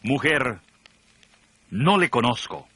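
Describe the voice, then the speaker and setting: A man's voice: mid-range voice, animated, slow pace, a bit bright, breathy edge, exaggerated voice. An actor playing an agitated, defensive male disciple, emphatic, in a dramatized audio Bible with music and a crowd behind. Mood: defensive denial.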